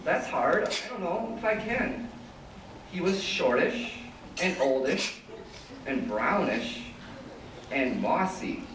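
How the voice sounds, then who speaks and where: A man playing a secretive, nostalgic old hermit who tells his tale in rhyming stage verse with theatrical, drawn-out delivery. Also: fairly high pitch, lively intonation. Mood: nostalgic, wondering. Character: secretive old male hermit creature, nostalgic, boastful, wheedling storyteller. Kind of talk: readers theater verse performance. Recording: roomy, distant, faint noise.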